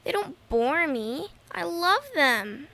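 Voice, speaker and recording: A woman's voice: sits high, very animated, slow, creaky, bright, forceful tone, exaggerated voice. A woman doing an eager little girl hunter. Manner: playful, hams it up. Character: eager nine-year-old girl hunter in training. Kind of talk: hobby radio drama acting.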